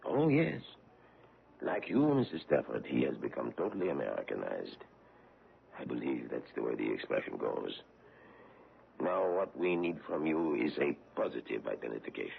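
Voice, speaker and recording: A super deep gravelly foreign detective, polite but creepy, voice swings up and down, tinny old radio. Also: male voice, sing-song, moderate pace, a little hiss or hum, heavily acted. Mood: suave, probing. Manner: courteous, probing, gently menacing. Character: suave middle-aged foreign male war-crimes investigator. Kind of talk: 1970s radio drama acting.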